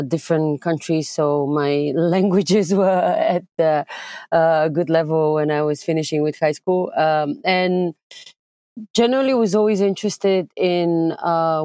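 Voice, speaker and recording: Low-voiced woman from Bulgaria, direct. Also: a little mellow, no echo. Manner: direct, measured, frequent filler pauses. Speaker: guest. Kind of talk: conversational interview.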